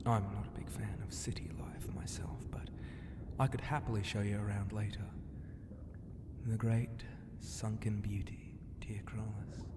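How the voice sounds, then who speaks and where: An immortal ocean god in dragon form, played by a man, speaks in a low, very unhurried voice that often sinks into breathy whispers. He is regal, smug and teasingly flirtatious, courting the listener in an ASMR roleplay. Long reverb surrounds every phrase. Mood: proud, welcoming. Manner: slow, breathy delivery often dropping to whispers. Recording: long cavernous reverb, clear ambient noise.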